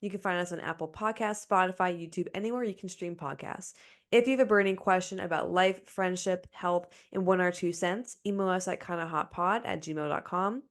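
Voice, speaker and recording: A young woman talks casually as a podcast co-host. Her voice sits a little low for a woman, and she speaks close to the microphone in a small room. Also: fairly even intonation, brisk. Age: young adult. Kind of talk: casual chat. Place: small indoor room, remote video recording.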